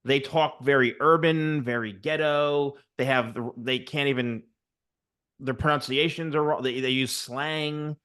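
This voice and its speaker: A man in his forties, hosting an interview and telling stories in a chatty, animated way. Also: mid-range voice, a little slow, clear, resonant tone. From United States. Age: 40s. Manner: chatty, animated storyteller, many fillers. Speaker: host, barber coach. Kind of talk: casual interview chat.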